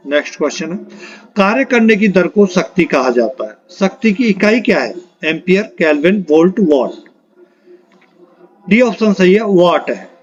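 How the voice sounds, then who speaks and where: High-pitched male quiz teacher, dull recording. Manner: instructional, reads out options then announces the answer. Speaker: exam-prep physics teacher. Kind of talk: quiz lesson, reading questions and options aloud.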